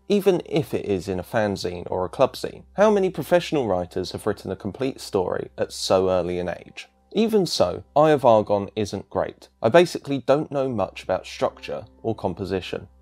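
A man's voice: on the low side, darkish tone. A man giving scripted narration as a video essayist.

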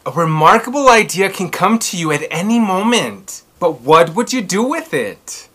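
A man's voice: sits high, big pitch swings, normal speed, a bit bright. A man in his thirties hosting a children's story time, reading aloud in a theatrical, emphatic way. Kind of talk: expressive read-aloud for children. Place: small furnished room at home, indoors.

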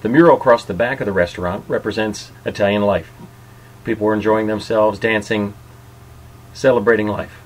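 A man's voice: lowish voice, fairly even intonation, brisk, poor audio. A middle-aged man hosting and narrating an informal guided tour. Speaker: host and narrator of a restaurant tour.

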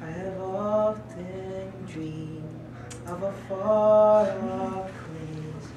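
Slow, high woman singing in echoey room. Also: recorded from a distance, dull audio, over music. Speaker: student performer. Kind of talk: amateur musical theatre singing. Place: classroom, indoors.

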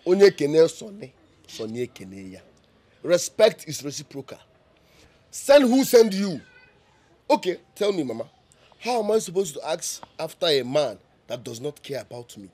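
A man's voice: a little high, very animated, measured pace, warm tone. A young man with a Nigerian accent playing a defiant son who argues with his mother, exasperated and mixing in Pidgin and Igbo phrases. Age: young adult.

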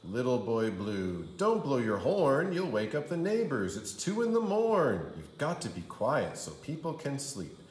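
Male kindergarten teacher for children's rhyme read-aloud: theatrical and sing-song, swooping pitch, recorded in a roomy space. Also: huge pitch swings, measured pace, few pauses. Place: indoor room. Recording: roomy indoor echo.

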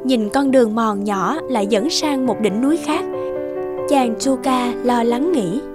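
A woman narrating a scripted children's fairy tale with expressive storytelling. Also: a little high, unhurried, music in the background.

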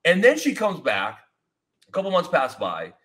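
A man in his thirties hosts a relaxed podcast chat from a home studio, speaking with real energy and drifting from story to story. His voice is bright and forward, and his pitch swings widely as he talks. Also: normal speed. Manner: energetic, rambling, expressive hand gestures. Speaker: podcast host. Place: home studio with foam-panel wall, indoors.

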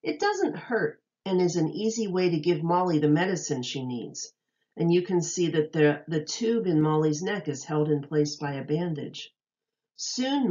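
A woman teacher reading a children's nonfiction book aloud, enunciating carefully and deliberately for young listeners. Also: lowish voice, a little mellow, dull audio.

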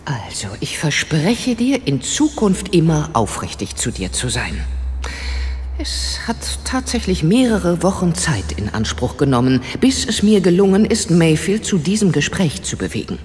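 A woman's voice: low-pitched, big pitch swings, unhurried, darkish tone, roomy sound, long reverb tail, slight background noise. A woman playing a polite, determined investigator in a German radio play, courteous and direct. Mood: earnest.

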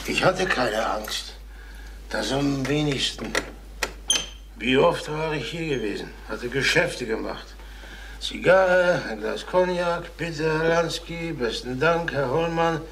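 An actor playing a troubled middle-aged ex-salesman turned burglar in a crime radio drama, halting, confessional and repeating his words. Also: on the high side, gravelly, fairly bright tone, slight background noise, full character voice. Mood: brooding, confessional. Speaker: former travelling salesman.

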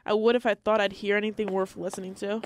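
A woman's voice: mid-range voice, little pitch movement, fast, background noise, natural voice. Woman playing a sassy, blunt housemaid. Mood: tart. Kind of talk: old-time radio drama acting.

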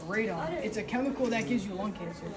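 A teenage boy asks questions in a casual interview style. He is a little distant from the phone, and loud chatter surrounds him. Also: sits high, fast talker. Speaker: student interviewer. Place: school hallways and classrooms. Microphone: handheld phone.

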